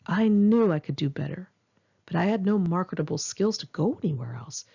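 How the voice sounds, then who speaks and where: Woman narrator for a personal memoir: lowish, husky voice, frank and emphatic delivery, on a slightly muffled home recording. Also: expressive, brisk, warm tone. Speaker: author reading own memoir. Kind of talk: scripted memoir narration for an audiobook, read in one take.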